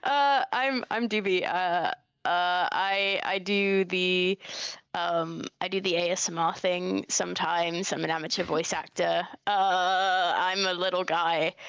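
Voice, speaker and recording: A woman's voice: on the low side, steady tone, slow pace, a bit bright, clean tone, no room sound, muffled recording. A woman chatting casually as a stream guest, nervous and self-deprecating, with a giggly manner. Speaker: stream guest, amateur voice actor. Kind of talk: casual chat.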